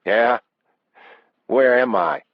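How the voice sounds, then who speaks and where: A man's voice: medium pitch, drawn-out words, dry recording, highs cut off, voice pushed up. A man playing a stubborn, guarded working man from the American South, speaking tersely in an audiobook. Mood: guarded defiance.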